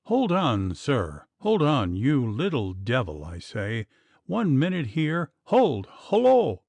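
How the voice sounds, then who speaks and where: A man voicing a boastful, hot-tempered gentleman who shouts and curses in a fright. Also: mid-range voice, wildly swooping pitch, slow pace, no echo, heavily acted. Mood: blustering. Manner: blustering, shouting.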